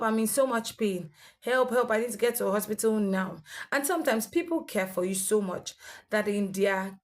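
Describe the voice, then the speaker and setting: A woman's voice: medium pitch, darkish tone. Earnest young woman. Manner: earnest, instructive, with fillers and restarts. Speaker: health vlogger with sickle cell. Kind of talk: advice monologue to camera.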